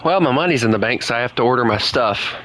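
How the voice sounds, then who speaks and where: A man's voice: mid-range voice, lively intonation, quick pace, a bit bright, a little hiss or hum. A young man with a Southern US accent, chatting casually and rambling while he plays a game. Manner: rambling, chatty, laughs often. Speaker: gaming streamer. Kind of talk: casual gaming chat.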